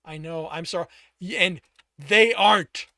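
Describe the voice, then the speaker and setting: A man voicing a despairing young man who begs softly and with a broken voice. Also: high voice, unhurried, dry recording, clean studio sound, pitched above the performer's own voice, heavily acted. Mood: pleading.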